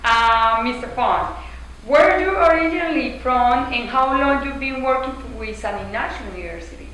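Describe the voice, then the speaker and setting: A woman interviewing for a newspaper, asking questions with hesitant, halting phrasing. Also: on the high side, a bit bright. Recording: very echoey, far from mic, faint noise.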